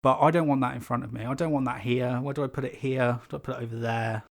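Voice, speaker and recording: Man, mid-pitched, sibilant and slightly rough-throated, rambling casual delivery, small home room, overhead condenser mic. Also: steady tone, quick pace. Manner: rambling, chatty, many fillers and asides. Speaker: YouTube creator. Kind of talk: casual unscripted talk to camera. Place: small carpeted home room with foam panels. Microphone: pencil condenser on a stand above the speaker.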